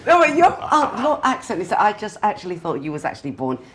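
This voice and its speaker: A woman born in Britain, a TV presenter asking questions in a chatty, animated way. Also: mid-range voice, a little fast, very noisy. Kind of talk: TV interview.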